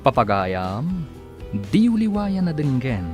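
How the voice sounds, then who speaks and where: Animated Ilocano radio drama announcer, male. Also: a little high, slow. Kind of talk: scripted dramatic radio narration. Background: music.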